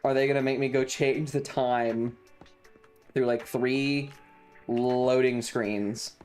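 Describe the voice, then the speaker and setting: A man's voice: mid-range voice, fairly even intonation, slow, a little mellow, soft background music. A man live streaming a video game in a casual, chatty way, sarcastic and exasperated, often reading game text in a dismissive manner.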